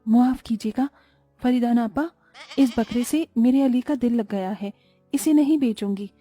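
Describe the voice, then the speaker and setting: A woman's voice: fairly high pitch, unhurried, mellow, faint music behind. An actress playing a polite, devout poor mother in a cartoon story.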